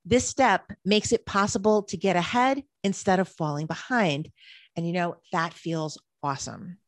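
A woman's voice: lowish voice, moderate pace, no room sound. A woman co-hosting a podcast who reads scripted points in an easy, upbeat way. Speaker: podcast co-host.

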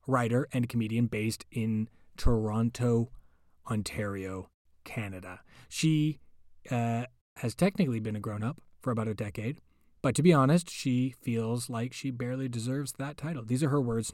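A man's voice: mid-range voice, moderate pace, dry recording, studio quality. A man hosting a podcast, casually introducing a guest reader with chatty, rambling asides. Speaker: podcast host.

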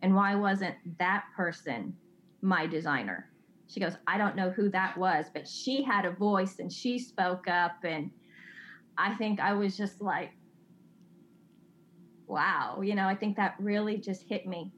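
A woman's voice: medium pitch, a little slow, fairly bright tone, a little hiss or hum. A middle-aged woman, speaking candidly as an interview guest, earnest and confessional, often restarting her sentences. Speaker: guest, designer and child advocate. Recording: slightly dull lo-fi sound.

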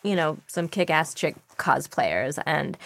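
A woman's voice: low voice, animated, unhurried, vocal fry, dry recording. A woman voice actor, a guest in a casual interview, talking enthusiastically and swearing now and then.

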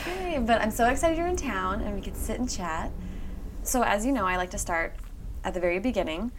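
A woman's voice: medium pitch, animated, a little fast, slight background noise. A woman hosting a podcast interview in a chatty, enthusiastic manner. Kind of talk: scripted introduction and casual interview chat.

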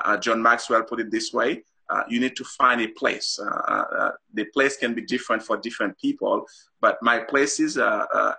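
A man's voice: fairly low pitch, bright, forceful tone, breathy edge. A middle-aged man from Haiti, a guest author on a talk show, explains his ideas earnestly with many fillers and restarts.

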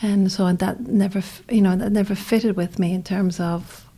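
Gravelly, soft-spoken Irish woman. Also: mid-range voice, fairly even intonation, normal speed. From Ireland. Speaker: interview guest. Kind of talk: reflective conversation.

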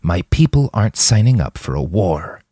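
A man voicing a tough, blunt woman fighter with a deep, gravelly smoker's voice in an audiobook story. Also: big pitch swings, normal speed, mellow, no room sound, clean studio sound, heavily acted. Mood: blunt, firm.

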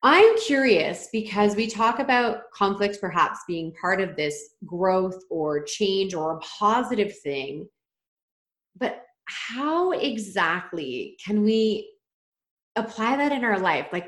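A woman whose first language is French hosts a relaxed interview and thinks aloud as she asks curious questions. Her middle-pitched voice carries a noticeable room echo. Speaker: podcast host. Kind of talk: casual interview conversation.

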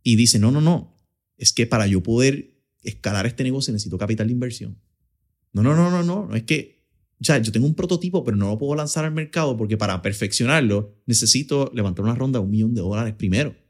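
A man's voice: medium pitch, fast, a little mellow. A man with a Puerto Rican Spanish accent, an accelerator director answering interview questions in an explanatory way, mixing in English business terms. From Puerto Rico. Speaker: startup accelerator director, guest.

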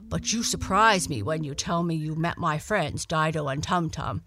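A woman voicing a gruff but kindly male dog in a children's storybook, blunt, hearty and folksy. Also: low voice, normal speed, a bit bright. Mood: friendly.

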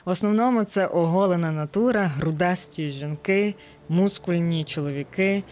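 A woman's voice: lowish voice, moderate pace, darkish tone. A woman narrates a personal audio essay in a reflective storytelling way. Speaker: podcast narrator. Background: background music.

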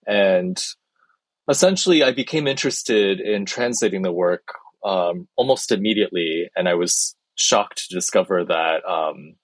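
A man talks through his answers slowly and carefully, hedging his points and filling pauses with ums. His voice sits low and deep. The sound is dry and close, with no room around it. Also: no echo. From born in China, raised in the US. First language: Chinese. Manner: thoughtful, hedging, many ums and restarts. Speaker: guest translator. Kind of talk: conversational interview answers.

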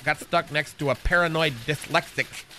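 A man's voice: fairly high pitch, steady tone, moderate pace, pushed and bright, slight background noise. A man voicing a flamboyant, gossipy male receptionist in a radio comedy sketch, with a lilting, swooping delivery. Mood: catty. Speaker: show's receptionist character.

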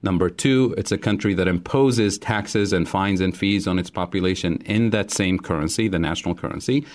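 A man in his forties from Tunisia, a guest economist, giving a measured explanation in an interview. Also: low voice, a little mellow. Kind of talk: interview answer.

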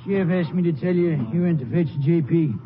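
Folksy rancher, played by a man, high voice, tinny vintage radio drama. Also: a little fast, close to an everyday voice. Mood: folksy, obliging. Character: folksy male western cattle rancher. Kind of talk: 1950s western radio drama acting. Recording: tinny, faintly noisy old radio recording.

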